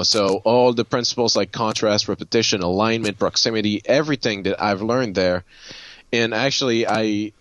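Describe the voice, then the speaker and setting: A man from Haiti telling a personal story casually, in a halting way with repeated words. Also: fairly low pitch, fairly bright tone, no room sound, muffled recording. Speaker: guest photographer and designer.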